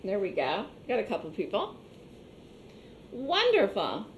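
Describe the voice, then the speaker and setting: A woman with a slightly hoarse voice teaching an online trading class, explaining step by step and repeating points conversationally. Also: mid-range voice, huge pitch swings, measured pace, a little hiss or hum. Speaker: trading instructor. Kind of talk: online trading webinar lecture.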